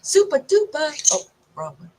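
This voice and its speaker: A woman's voice: sits high, wildly swooping pitch, measured pace, a little mellow. A woman co-hosting a podcast, speaking with a stuffy, congested voice in a plain, wry way. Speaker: podcast co-host. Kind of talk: casual chat.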